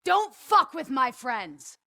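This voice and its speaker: Casting: brash superhero woman operator, game combat barks, high lively pitch, punchy, dry sound. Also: slow, gravelly, no echo, heavily acted. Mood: fierce. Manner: punchy, commanding, swearing.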